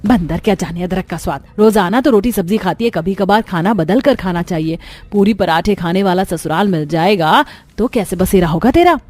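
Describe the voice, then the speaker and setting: A woman voicing an exasperated, sharp-tongued middle-aged mother who grumbles and nags in a cartoon. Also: medium pitch, animated, moderate pace, natural voice. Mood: scornful. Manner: grumbling, nagging.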